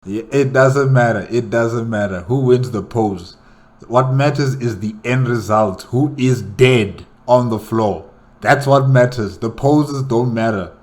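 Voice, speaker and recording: Slow-paced man giving casual reactions. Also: mid-range voice. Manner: emphatic, repeats himself in short phrases. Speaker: reaction video host. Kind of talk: casual spontaneous reaction commentary.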